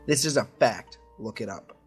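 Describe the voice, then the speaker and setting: A man's voice: fairly low pitch, animated, normal speed, faint music behind. A man reading a creepy story aloud to friends in an informal way. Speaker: story reader.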